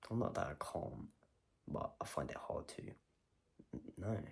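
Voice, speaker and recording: A man's voice: extremely low pitch, lively intonation, a little slow, gravelly. A man vlogging a personal video diary, talking in a reflective, rambling way and questioning himself as he goes.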